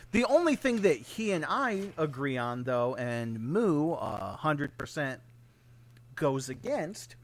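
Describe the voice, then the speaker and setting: A man's voice: on the high side, big pitch swings, takes it slowly. A man co-hosting a casual podcast, offering dry, opinionated takes and teasing his co-hosts. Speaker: podcast co-host.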